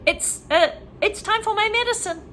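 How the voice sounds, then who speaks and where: Storybook grandma, old and bossy, very high and sharp, put on by a woman reader. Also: moderate pace, a little hiss or hum. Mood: demanding.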